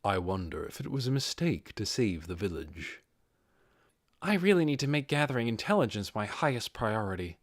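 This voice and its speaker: A man voicing a composed, brooding undead overlord in a measured, commanding manner for a fantasy audiobook. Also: fairly low pitch, sing-song, dry recording, clean studio sound.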